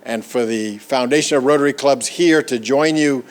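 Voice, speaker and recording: A man's voice: medium pitch, moderate pace. A man from the Bahamas giving an earnest, encouraging ceremonial speech at a podium as a Rotary Foundation leader. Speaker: Rotary Foundation trustee chair.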